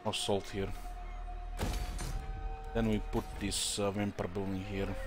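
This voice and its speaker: A man's voice: fairly low pitch, level tone, slow, music in the background. A man from Croatia with a Croatian-influenced accent, commenting live on a strategy game he is playing and thinking aloud with many fillers. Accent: Croatian-influenced English. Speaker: streamer.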